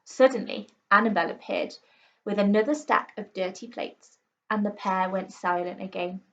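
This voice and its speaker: A woman reading a children's story aloud with expressive narration, like a teacher to pupils. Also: mid-range voice, normal speed, gravelly, lo-fi sound.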